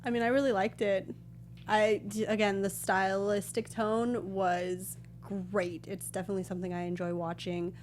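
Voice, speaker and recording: A woman's voice: medium pitch, level tone, moderate pace. A woman co-hosting a review show in casual, easygoing conversation. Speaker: show co-host.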